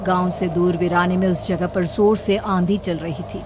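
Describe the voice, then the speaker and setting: A woman's voice: lowish voice, fairly even intonation, normal speed, noisy background. A woman reading scripted horror story narration as the storyteller. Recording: music playing behind narration.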